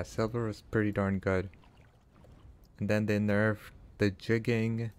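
A man from Canada whose first language is not English, chatting casually with his live-stream viewers while he plays, answering chat and laughing often. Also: fairly low pitch.

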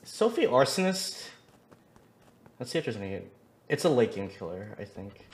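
A man's voice: medium pitch, sing-song, normal speed. A man giving casual commentary and chatting with other players during an online game. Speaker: gaming video creator and player.

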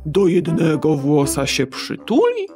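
A woman voicing a kindly old man in a storybook who gently gives a girl advice. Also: low-pitched, wildly swooping pitch, over music, full character voice. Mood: gentle advice. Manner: gentle, unhurried, advising.

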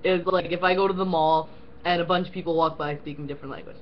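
A young woman co-hosting a casual, profane rant, animated and full of fillers like "um" and "like". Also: on the low side, quick pace, muffled recording, a crowd in the background. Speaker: co-host. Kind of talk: casual ranting chat.

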